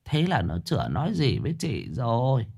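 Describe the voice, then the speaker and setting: A man voicing a secretive old village woman who speaks in a low, conspiratorial voice. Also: wildly swooping pitch, moderate pace, vocal fry, husky, full character voice. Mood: hushed. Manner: hushed, conspiratorial.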